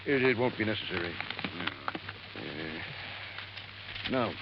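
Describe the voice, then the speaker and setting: A man's voice: on the low side, expressive, slow pace. A man playing a formal, pedantic lawyer who stammers a little at the start of phrases, in 1950s radio drama style. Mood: fussy, formal. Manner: hesitant, formal, pedantic, stammering over first words. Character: fussy, formal male small-town lawyer reading a will. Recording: muffled, hissy vintage radio recording.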